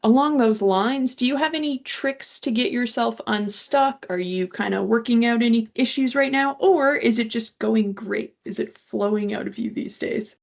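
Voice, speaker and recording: A woman's voice: fairly high pitch, moderate pace, gravelly, few pauses, a little mellow. A woman interviewer putting conversational questions to her guests. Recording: dull lo-fi audio.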